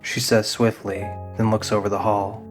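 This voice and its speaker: Male narrator, lowish pitch, scripted dramatic storytelling, faint music behind. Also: little pitch movement, normal speed.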